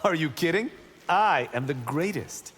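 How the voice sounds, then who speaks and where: A cocky young warrior monk, animated. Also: male voice, a little high, unhurried, full character voice. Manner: proud, brash, self-assured. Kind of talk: video game character banter. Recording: long hall reverb, clear background noise.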